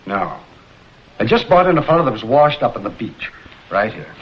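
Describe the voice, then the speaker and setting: An actor playing a chatty, unhurried American morgue attendant with a folksy, rambling way of talking in a vintage detective drama. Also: male voice, medium pitch, big pitch swings, close to an everyday voice. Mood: casual, morbid. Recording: muffled old film soundtrack, faint noise.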